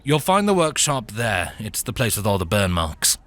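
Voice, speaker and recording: A man's voice: lowish voice, big pitch swings, moderate pace, fairly bright tone. A drowsy, slouching male guard from a fantasy storybook, put on by a male narrator. He drawls with boredom and hesitates as he talks, sounding barely interested. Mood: bored, helpful.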